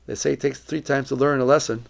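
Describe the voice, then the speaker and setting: A middle-aged man from Colombia speaking as a missionary, giving a personal testimony in an earnest, reflective, deliberate way. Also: mid-range voice, flat delivery, creaky.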